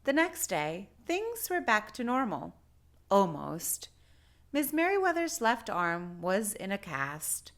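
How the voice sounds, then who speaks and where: A woman narrating a picture book aloud for children, with deliberate, expressive phrasing. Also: medium pitch. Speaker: children's story reader.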